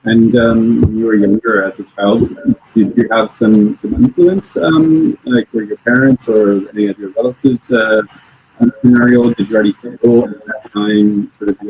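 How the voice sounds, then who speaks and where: A man in his forties hosting an interview over a video call, asking questions in a relaxed, hesitant way with many ums. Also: fairly low pitch, normal speed, slightly rough, faint music behind. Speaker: interview host. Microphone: video call webcam. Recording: tinny phone-like line.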